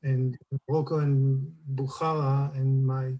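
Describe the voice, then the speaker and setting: Panelist: Israeli-accented man, slow and halting, flat mellow delivery. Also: mid-range voice, rough recording. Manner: hesitant, searching for words. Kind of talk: personal account on a panel.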